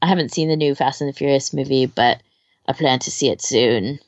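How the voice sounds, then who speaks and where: A woman's voice: extremely low pitch, brisk, a little mellow, dry recording, highs cut off. A woman in casual, informal chat as a podcast co-host, rambling and hesitant as she gives recommendations, often trailing off. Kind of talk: casual chat, recommending fan fiction audio.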